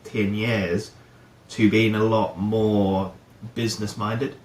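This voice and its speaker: A man's voice: on the low side, flat delivery, slow pace, darkish tone, roomy sound, low-quality recording. A middle-aged man from New Zealand, a guest talking casually about his career, with many fillers and restarts. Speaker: guest, head of procurement. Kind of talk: casual interview chat.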